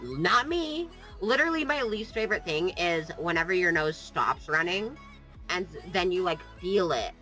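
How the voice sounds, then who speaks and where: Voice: medium pitch, lively intonation, moderate pace, sharp tone, soft background music, poor audio. A gaming vlogger with a cold, sniffling while joking and rambling off topic in playful, sarcastic casual chat. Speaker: gaming YouTuber.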